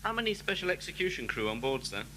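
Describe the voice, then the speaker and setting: A man's voice: on the high side, big pitch swings, fast talker, sharp tone. A man playing a dutiful, anxious guard in an audio drama, with a clipped, deferential manner. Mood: dutiful, concerned. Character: dutiful, anxious male guard reporting to superior. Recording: very noisy cassette with hum.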